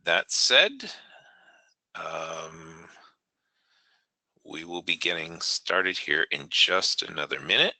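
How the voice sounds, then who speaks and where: Hoarse man with a deep, dry voice, talking haltingly through a software tutorial. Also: big pitch swings, no echo. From New England, United States. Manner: halting, many fillers, clears throat. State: hoarse, gravelly voice. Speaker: software trainer hosting a webinar. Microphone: online meeting audio.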